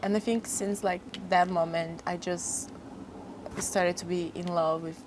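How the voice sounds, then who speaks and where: Young Brazilian woman, low voice. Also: fairly even intonation, noisy background. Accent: Brazilian Portuguese. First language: Portuguese. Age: young adult. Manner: halting non-native phrasing, frequent laughs. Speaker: guest model. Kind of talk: casual interview chat.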